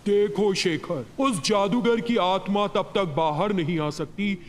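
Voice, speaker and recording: A man voicing a friendly chief of devils in a cartoon story, measured and reassuring. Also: sits high, a little hiss or hum. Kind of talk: Hindi cartoon story voice acting.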